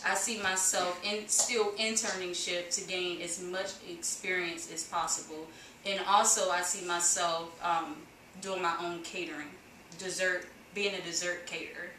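A woman's voice: fairly low pitch, steady tone, a little slow, bright, forceful tone, slight background noise. Earnest twenty-year-old woman, far off. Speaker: student. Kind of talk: casual group chat. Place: living room, indoors. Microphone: far camera. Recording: echoey room, far from camera.

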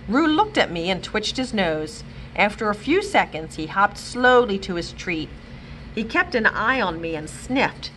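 Animated woman reading a children's book. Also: medium pitch, slight background noise, low-quality recording. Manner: expressive, animated storytelling. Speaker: children's librarian. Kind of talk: reading a chapter book aloud. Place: library, indoors.

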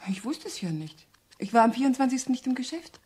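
A woman playing an agitated, indignant young client in a 1970s radio crime drama, with a soft-edged husky voice. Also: a little high, sing-song, fast, slight background noise. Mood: anxious, defensive.

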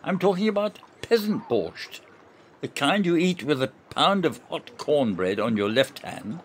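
A man's voice: fairly high pitch, sing-song, unhurried, slight background noise. A man acting in an amateur radio play as a proud, stubborn general, speaking in a formal, pedantic and emphatic way.

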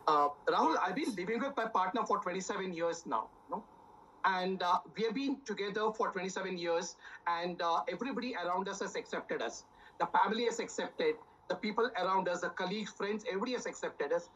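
Husky man, sixty, earnest panelist on a video call. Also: high voice, fairly quick, a bit bright, dull audio. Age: 60s. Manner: earnest, pleading, filler-heavy. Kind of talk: personal argument in a TV debate.

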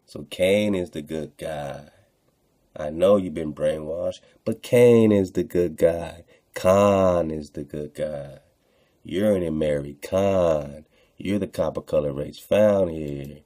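A man's voice: a bass voice, slow pace, mellow. A man hosting online radio, commenting casually on texts he reads aloud, repeating phrases for emphasis and addressing listeners in slang.

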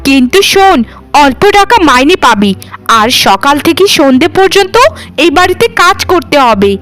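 A proud, scornful rich lady barks orders at her servants in a high, piercing voice, as a woman acts her out. She looks down on the poor and makes it known in every word of this cartoon. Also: fairly bright tone. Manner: scornful, commanding. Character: haughty rich mistress, later sick and humbled. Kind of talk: cartoon voice acting.

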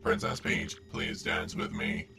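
A man's voice: a little slow, noisy background, lightly acted. A man voicing a polite, literal-minded computer for a video game fan dub, with formal, stilted phrasing. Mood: flat, formal. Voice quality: very deep, gravelly drone. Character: polite, literal-minded supercomputer curious about feelings.

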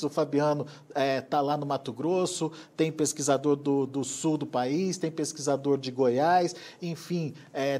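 A man's voice: fairly high pitch, darkish tone. A man in his thirties hosting an agricultural news program from a studio, talking in a conversational way with fillers. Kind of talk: studio interview and announcement.

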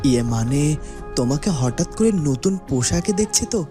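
High-voiced gentle young prince played by a man. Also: animated, normal speed, over music, natural voice. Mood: gentle, hesitant.

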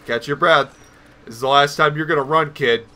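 A man's voice: a little high, moderate pace, sharp tone. A man giving casual let's play commentary, rambling nervously and talking to himself as he plays. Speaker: gaming video host.

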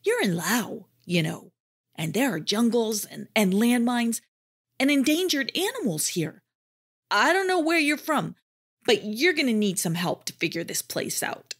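A woman's voice: on the high side, sing-song, measured pace, fairly bright tone, husky, pitched above the performer's own voice, exaggerated voice. Woman voicing a timid, eager young tiger. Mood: helpful.

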